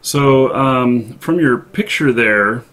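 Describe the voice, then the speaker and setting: A man hosting a live show, chatting off the cuff with callers in a polite, halting and hesitant way. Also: mid-range voice, fairly bright tone. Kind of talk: unscripted call-in show chat.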